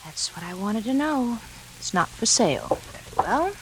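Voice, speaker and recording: An actress playing a cool, seductive schemer in a 1940s radio thriller, smooth-talking and coaxing. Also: female voice, fairly high pitch, sing-song, moderate pace, low-quality recording. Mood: cool, calculating. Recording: hissy 1940s radio recording, rain noise.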